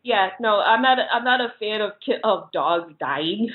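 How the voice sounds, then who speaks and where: A woman's voice: medium pitch, expressive, normal speed. A woman chatting casually as an interview guest, often laughing as she talks. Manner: giggly, laughs often while talking. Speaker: interview guest, horror filmmaker. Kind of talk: casual chat. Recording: thin, narrow phone-like line.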